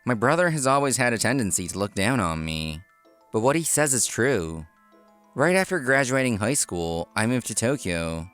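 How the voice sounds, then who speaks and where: A man's voice: medium pitch, very animated, normal speed, a bit bright, smooth, faint music behind. A man reading scripted first-person narration for a manga story. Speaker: narrator and lead character.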